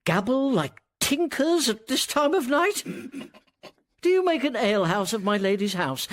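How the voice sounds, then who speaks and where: An actor playing a pompous, puritanical household steward in a Shakespearean radio comedy, haughty and reproving. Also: male voice, high voice, no echo. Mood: outraged, scolding.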